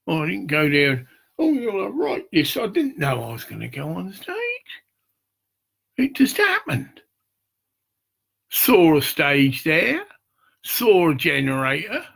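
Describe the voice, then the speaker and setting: An older man with a gravelly, husky voice, rambling and swearing in a loose monologue, often checking "get it?". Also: a little high, pushed and bright. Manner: rambling, profane, keeps asking "get it?". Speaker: street preacher.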